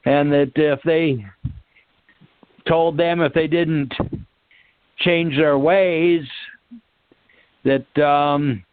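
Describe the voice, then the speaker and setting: A man calling in to a discussion, musing casually in hesitant, drawn-out phrases. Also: on the high side, fairly even intonation, clean tone, dry recording. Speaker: call-in participant. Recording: dry, tinny phone line.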